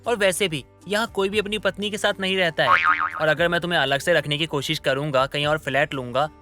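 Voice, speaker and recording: A man playing a placating, smooth-talking young husband in a story drama. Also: a little high, fairly even intonation, a little fast, bright, forceful tone, soft background music. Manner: coaxing, placating. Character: smooth-talking, two-timing young husband full of excuses. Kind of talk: Hindi moral-story audio drama acting.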